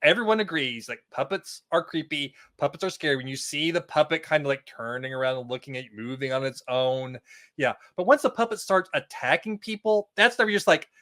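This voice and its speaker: A man with a somewhat high, very bright and forceful voice talks as a podcast guest. His delivery is casual and wry, like relaxed opinionated conversation. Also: a little fast.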